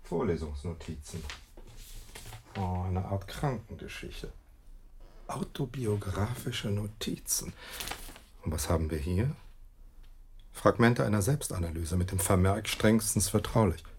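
An arrogant sleuth in a radio drama, muttering to himself in a low, gravelly voice. His speech is precise and pompous, with lively swings of pitch, over faint noise. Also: a little slow, pauses often. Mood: concentrated, muttering. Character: arrogant, sharp-witted male detective snooping alone. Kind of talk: German radio play acting.

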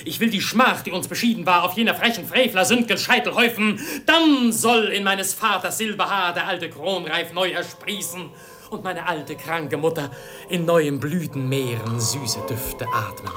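An actor playing a proud, impetuous young exiled prince in a verse radio play, with husky, declamatory delivery. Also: male voice, high voice, normal speed, sharp tone. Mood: fervent, vengeful. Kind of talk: classical German radio play acting in verse.

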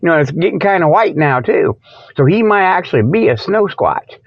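A man's voice: high voice, animated, moderate pace, dry recording, muffled recording. A man hosting a comic radio report, rambling off the cuff in a folksy, colloquial, repetitive way and amused by his own jokes. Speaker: host of a comic Bigfoot report show. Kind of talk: rambling improvised comic monologue.

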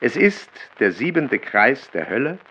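An actor playing a melancholic, bitterly ironic writer who recites his works in a theatrical, deliberate way in a radio play. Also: mid-range voice, big pitch swings, pushed and bright, exaggerated voice, low-quality recording. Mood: bitter, scathing. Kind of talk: literary radio play reading.

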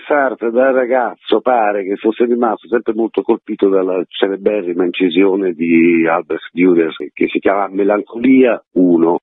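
A man's voice: deep voice, husky, no echo. A man, an actor being interviewed on radio, explaining in a reflective, wordy way over a phone line. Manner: reflective, wordy, with frequent qualifiers. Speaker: stage actor, interview guest.

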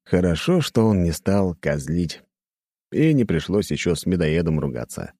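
A man's voice: deep voice, big pitch swings, moderate pace, mellow, dry recording, clean studio sound. A man narrating first-person fiction as an audiobook reader, in a scripted storytelling style.

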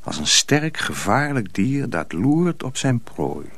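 A man's voice: mid-range voice, sing-song, takes it slowly, vocal fry, background noise. A man narrating a radio drama as a scripted storyteller.

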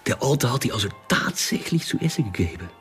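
An actor playing a cocky, slyly friendly young Dutch drug dealer in a crime radio drama, speaking German with a Dutch accent and slightly broken grammar. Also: male voice, mid-range voice, sing-song, brisk, fairly bright tone, soft background music. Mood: sly, casual.

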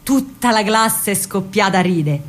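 A woman's voice: mid-range voice, animated, a little slow, natural voice. An actress playing a spirited, rebellious young working-class Roman woman, telling her story colloquially in a theatrical radio monologue with a Roman accent. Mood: amused, animated. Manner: colloquial, vivid, ironic storytelling.

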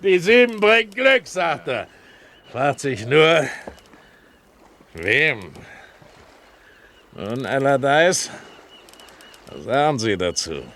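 An actor in a 1970s German radio play, playing a sly, domineering pirate captain with a commanding, mocking manner. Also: male voice, medium pitch, very animated, extremely slow, pauses often, fairly bright tone, a little hiss or hum, heavily acted. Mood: commanding, amused. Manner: commanding, mocking, given to chuckling.

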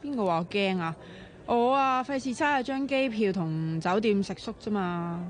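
An actress playing a sharp-tongued, bossy, excitable young woman in a radio drama, chatty and expressive, with a Hong Kong Cantonese accent. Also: mid-range voice, moderate pace, close to an everyday voice. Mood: persuasive, cheeky.

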